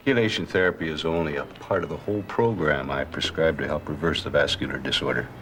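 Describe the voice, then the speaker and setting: A man acting as a calm, earnest physician in a scripted drama, explaining things in a measured, formal, instructive way. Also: very deep voice, a little hiss or hum. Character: calm, earnest male doctor explaining treatments. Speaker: actor playing a physician.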